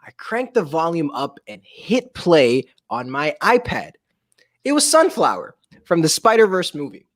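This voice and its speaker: A man reading a children's story aloud in a playful, expressive way, with casual asides. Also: on the high side, unhurried, no room sound, studio quality. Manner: playful, expressive, breaks into chuckles.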